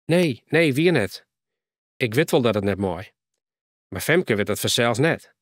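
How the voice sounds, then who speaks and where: A man voicing a brisk, hospitable middle-aged mother in a storybook reading. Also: medium pitch, lively intonation, no room sound, clean studio sound.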